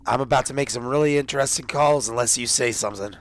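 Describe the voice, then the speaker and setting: A man's voice: mid-range voice, fast, slight background noise. A man roleplaying a soldier in a tabletop game, blunt and sweary.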